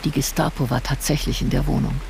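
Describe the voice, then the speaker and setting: An actress playing a sober intelligence officer who narrates in a factual, restrained report style for a radio drama. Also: female voice, sits low, steady tone, fast talker, vocal fry, noisy background.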